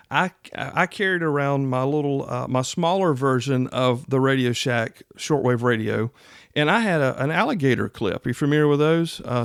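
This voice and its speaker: A man's voice: mid-range voice, smooth, dry recording, clean studio sound. A middle-aged man hosting a podcast, explaining things in a casual, folksy, conversational way. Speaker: podcast host. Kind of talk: casual instructional podcast talk.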